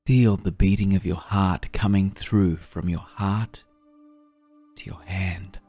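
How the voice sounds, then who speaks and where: A man guiding a meditation in a soft, breathy, soothing voice, gently and unhurriedly, with long pauses. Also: sits low, fairly even intonation, dry recording, soft background music. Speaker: meditation guide. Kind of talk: guided meditation narration.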